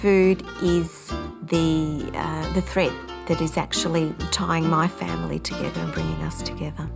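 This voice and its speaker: A woman's voice: deep voice, expressive, normal speed, gravelly. A middle-aged woman with an Australian accent, telling family stories in a personal documentary interview. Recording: music bed behind the voice.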